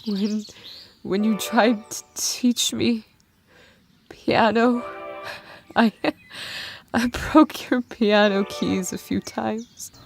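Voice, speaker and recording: A woman voicing a dying female monster warrior who speaks weakly in halting, tearful phrases over music in a fan dramatic reading. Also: medium pitch, steady tone, gravelly. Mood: wistful, fading. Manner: halting, weak, broken phrases. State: struggling to breathe, tearful. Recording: music bed with birdsong behind.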